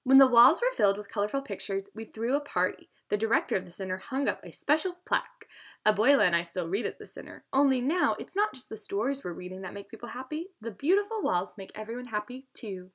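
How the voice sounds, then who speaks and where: High, very animated woman reading to children. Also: quick pace. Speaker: teacher reading aloud to students. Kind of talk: storybook read-aloud for children.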